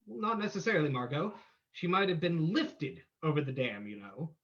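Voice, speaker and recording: A man acts out a commanding gentleman sleuth in old radio drama style. He lays out his plans with confidence. The audio is somewhat dull and lo-fi. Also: on the high side, lively intonation, normal speed. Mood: calm, plotting.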